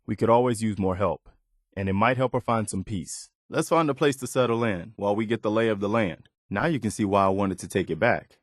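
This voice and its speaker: An actor playing an earnest, loyal and idealistic militia officer in a video game, measured and plain-spoken. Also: male voice, on the low side, no room sound, studio quality, lightly acted. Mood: earnest, hopeful. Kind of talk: video game character dialogue.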